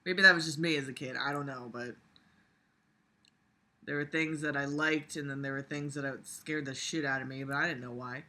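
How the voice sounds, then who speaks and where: A person reading a book aloud and breaking off into sarcastic, profane, animated commentary in an exasperated, casual way. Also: mid-range voice, normal speed. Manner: animated, breaks into mocking imitations.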